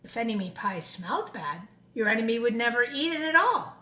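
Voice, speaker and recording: Woman reading as a quiet, secretive dad, echoey room. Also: a little high, sing-song. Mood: knowing. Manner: quiet, measured, confiding. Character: calm, secretive father coaching his young son.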